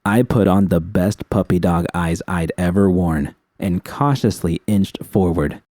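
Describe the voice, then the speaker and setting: A man's voice: low-pitched, measured pace, dark tone, no room sound, studio quality. A man reading scripted first-person dramatic fiction as an audiobook narrator.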